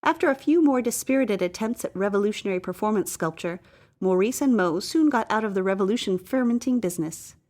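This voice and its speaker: A woman reads a satirical tale in a mid-range voice. Her scripted storybook narration is theatrical and deliberate, with stretched emphasis on chosen words. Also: medium pitch, expressive, darkish tone. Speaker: story reader.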